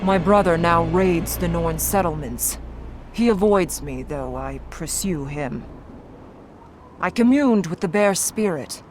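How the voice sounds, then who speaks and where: A woman's voice: on the low side, takes it slowly, background noise. An actress playing a grim, proud Norn warrior woman in a video game, speaking in a solemn, terse, formal way. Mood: grave, resolute. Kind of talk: video game cutscene acting. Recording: music behind the voice.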